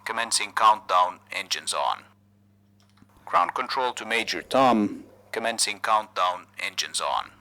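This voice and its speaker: A man's voice: fairly low pitch, lively intonation, measured pace, sharp tone. A man delivering a scripted countdown radio call through a distorted walkie-talkie filter.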